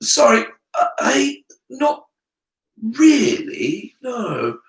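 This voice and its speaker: A man voicing a nervous, polite young schoolboy who speaks haltingly in a storybook reading. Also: very high voice, sing-song, creaky, fairly bright tone, pitched above the performer's own voice, heavily acted. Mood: flustered. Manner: halting, hesitant, anxious. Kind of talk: storybook character voice in audiobook reading. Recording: roomy, distant from the mic.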